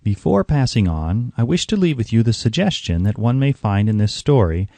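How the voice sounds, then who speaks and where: A man reading a scripted audiobook narration in a measured, oratorical, earnest manner. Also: fairly low pitch, very animated, mellow, dry recording.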